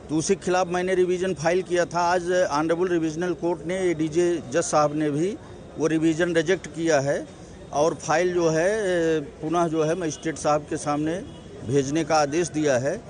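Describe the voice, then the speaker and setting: A man with a north Indian accent and a slightly high voice speaks as a lawyer making a press statement outdoors. He is formal in delivery and drops English legal terms into his sentences. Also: darkish tone. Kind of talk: press statement on a court ruling.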